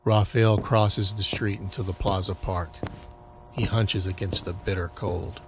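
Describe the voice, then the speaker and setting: A man narrates an audio drama as a scripted storyteller. His voice sits on the low side, and there is clearly audible noise in the recording. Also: fairly even intonation, a little slow.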